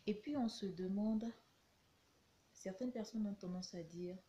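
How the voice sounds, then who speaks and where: A woman vlogger with a cold, talking casually to camera and giving her view with slow, hesitant, reflective phrasing. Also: mid-range voice, mellow, lo-fi sound, a little hiss or hum. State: has a cold.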